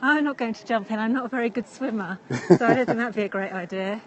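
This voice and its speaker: English woman, fairly high voice, chatty lakeside walk, phone mic, faint outdoor noise. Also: fast talker, lo-fi sound. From England. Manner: chatty, with frequent fillers and laughs. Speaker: co-host of a live walking stream. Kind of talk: casual chat while walking outdoors.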